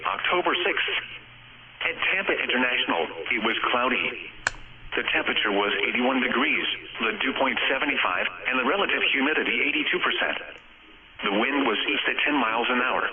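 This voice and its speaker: A synthetic male text-to-speech voice reading scripted weather bulletins over the radio in a formal, impersonal, segmented way. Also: medium pitch. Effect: radio transmission. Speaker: automated weather radio announcer. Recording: narrow tinny weather radio, heavy background babble.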